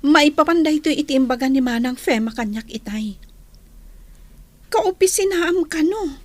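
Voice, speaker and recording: Casting: loyal kid sister in radio drama, high voice, Ilocano accent, concerned and earnest. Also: female voice, animated, moderate pace, no room sound. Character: worried, loyal younger sister.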